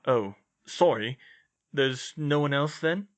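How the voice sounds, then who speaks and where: A man voicing a kind, steady teenage boy in a story, earnest and gently reassuring. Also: mid-range voice, takes it slowly, voice pushed up, natural voice.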